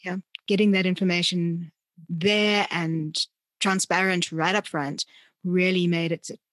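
A woman hosting a conversational interview, speaking deliberately and often rephrasing as she goes. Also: on the low side, fairly even intonation, a bit bright, dry recording. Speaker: interviewer and podcast host.

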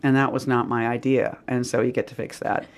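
A woman's voice: very deep voice, flat delivery, fairly quick. A woman with a Boston accent, a poet talking casually in an interview, rambling with lots of "you know" and "like".

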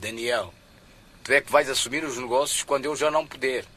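An actor playing a stern but fond middle-aged businessman father, husky, in a radio drama. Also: mid-range voice, a little fast, fairly bright tone, no echo, lightly acted. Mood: insistent.

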